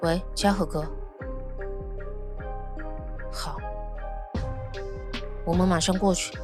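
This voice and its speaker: A woman playing a calm, observant young investigator in an audio drama, speaking in a composed, understated way. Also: on the low side, takes it slowly, over loud music. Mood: serious.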